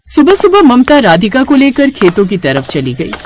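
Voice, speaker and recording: Animated female storyteller. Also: a little high, normal speed, a little hiss or hum. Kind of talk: scripted moral story narration.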